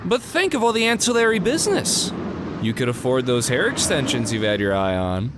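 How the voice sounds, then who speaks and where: A man's voice: mid-range voice, very animated, brisk, close to an everyday voice. An actor playing a sarcastic male ghost hunter who quips his way through an audio drama. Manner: sarcastic, quippy. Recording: noisy street traffic ambience.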